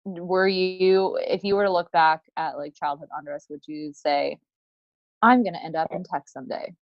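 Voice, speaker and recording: Low-voiced woman host, muffled sound. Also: normal speed, no echo. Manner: warm-up fillers, laughs, rephrases questions. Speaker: podcast host. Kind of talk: casual interview questions. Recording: dull, muffled sound, dry.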